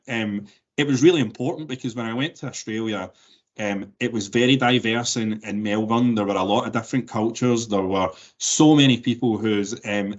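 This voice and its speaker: A man in his forties with a softened Glaswegian Scottish accent, answering interview questions thoughtfully with many restarts and fillers. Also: on the low side, dull audio. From Scotland. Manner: thoughtful, frequent word repeats and fillers. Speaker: interview guest.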